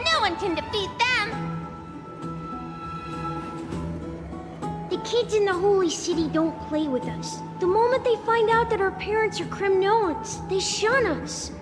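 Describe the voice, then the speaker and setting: An eager little child of a warrior people, speaks very high with earnest, boastful pride. The game dialogue rings in a long reverb with music clearly behind it. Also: female voice. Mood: defiant pride. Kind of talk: video game voice acting.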